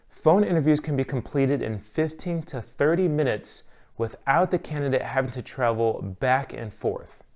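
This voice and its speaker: A man working as an instructor, delivering scripted instructional narration in a clear, measured, advisory manner. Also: medium pitch, darkish tone.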